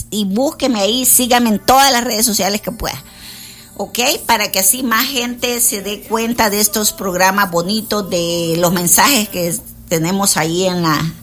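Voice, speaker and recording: A woman's voice: mid-range voice, a bit bright. A woman, a motivational coach chatting on the radio and addressing listeners directly. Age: 60s. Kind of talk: casual radio chat.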